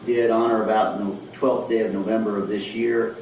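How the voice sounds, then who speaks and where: A man haltingly reading a formal charge in court, in an echoey, distant, noisy recording. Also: on the low side, little pitch movement, darkish tone, muffled recording, soft background music.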